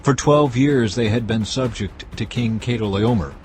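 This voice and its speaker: A man's voice: medium pitch, moderate pace, background noise. A man giving a scripted, dramatized narration of scripture as the narrator. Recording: loud music bed behind the voice.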